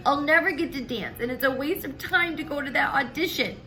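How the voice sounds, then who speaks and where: A woman's voice: high-pitched, brisk, bright, forceful tone, pitched above the performer's own voice. A woman voicing a sassy young girl who answers back emphatically in a children's storybook read-aloud. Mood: upset, protesting. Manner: cheeky, emphatic comebacks.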